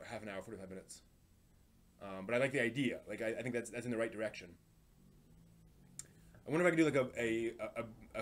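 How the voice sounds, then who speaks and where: A man with a medium-pitched voice hosts a casual live stream. He talks off the cuff and thinks aloud as he goes, often stalling and repeating a word before he carries on.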